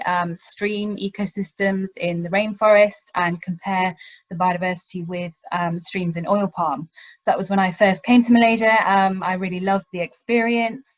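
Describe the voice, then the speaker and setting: A woman's voice: medium pitch, dry recording. A British woman in her thirties, a university lecturer, presenting slides on a webinar panel with frequent ums. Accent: British English. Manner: hesitant, many ums, self-deprecating. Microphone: video call. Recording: dry, slightly dull video-call audio.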